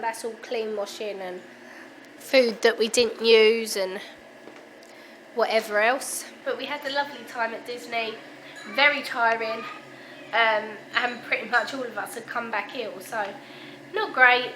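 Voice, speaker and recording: A woman, hoarse and croaky from a sore throat, chatting casually to her camera at home with plenty of ums. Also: fairly high pitch, bright, forceful tone, slight background noise, rough recording. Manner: chatty, many ums, unhurried. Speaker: family vlogger. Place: at home, indoors.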